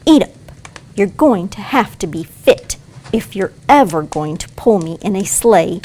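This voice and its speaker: A woman playing a bossy, brusque robber girl with a hidden soft heart in an audio play. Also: medium pitch, expressive, unhurried, breathy edge, low-quality recording. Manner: brusque, commanding.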